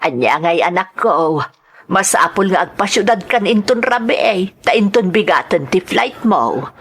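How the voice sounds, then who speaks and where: A woman's voice: mid-range voice, lively intonation, normal speed, breathy edge. A woman with an Ilocano accent playing a caring, worried mother of a grown son in a radio drama. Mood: concerned.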